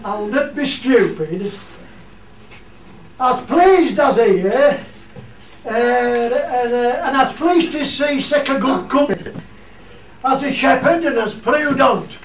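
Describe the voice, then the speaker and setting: A man acting a plain-spoken, jocular fell farmer with a Cumbrian accent and a halting, folksy manner, in a dialect radio play. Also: extremely high pitch. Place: theatre studio. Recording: echoey room, distant mic, faint noise.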